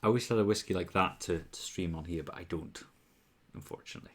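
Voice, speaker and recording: A man's voice: deep voice, fast. A man with a Welsh accent, mixed with Scottish and northern English, hosting a casual whisky-tasting live stream from home. He chats upbeat and loose with viewers, with lots of ums and restarts. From Wales.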